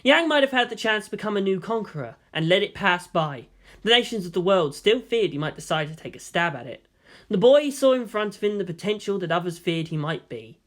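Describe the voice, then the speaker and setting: A man's voice: sits high, lively intonation, a little fast, a bit bright. A man reading a story aloud as scripted narration. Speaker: fan fiction reader.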